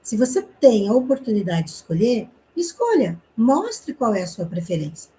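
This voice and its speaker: Woman giving an online communication class, kinda high voice, mellow tone, keeps asking "né?". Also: sing-song, normal speed, a little hiss or hum, rough recording. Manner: explanatory, with rhetorical questions and frequent "né?". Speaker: communication teacher.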